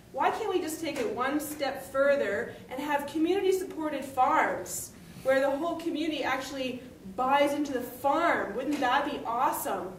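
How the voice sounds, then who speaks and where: Panel speaker, woman farmer, bright higher voice, candid, echoey distant hall sound with noise. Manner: candid, lively, laughs often. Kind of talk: symposium panel talk. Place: university lecture hall. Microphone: room microphone at a podium. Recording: echoey hall, far from mic, noisy.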